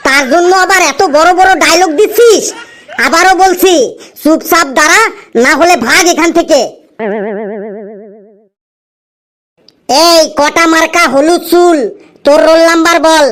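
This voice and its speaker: Very high-pitched, bossy cartoon shopkeeper. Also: steady tone, normal speed, a bit bright. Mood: annoyed. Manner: scolding, sarcastic, taunting. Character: irritable bald shopkeeper who checks exam results, bossy and mocking. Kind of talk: comedy cartoon dialogue.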